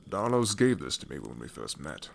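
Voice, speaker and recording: Man acting a deep, gravelly old wanderer. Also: sing-song, normal speed, slight background noise, full character voice. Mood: assured, storytelling. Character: older male wanderer, wry, worldly, teasing peacemaker. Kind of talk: ASMR fantasy audio roleplay.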